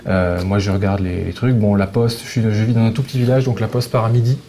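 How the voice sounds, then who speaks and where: A man's voice: fairly low pitch, steady tone, dark tone. A man talking casually and hesitantly as an interview guest. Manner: hesitant, halting delivery. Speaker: guest, fan translator.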